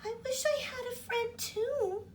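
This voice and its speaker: A woman voicing a tiny kind-hearted female tooth fairy in a storybook reading, her voice lifted high and earnest. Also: a little slow, slight background noise. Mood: wistful.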